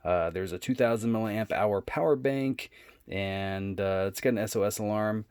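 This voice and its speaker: A man giving a casual, unscripted product demonstration in a low-key, halting way. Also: a little mellow, clear, resonant tone, no echo. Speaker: product reviewer.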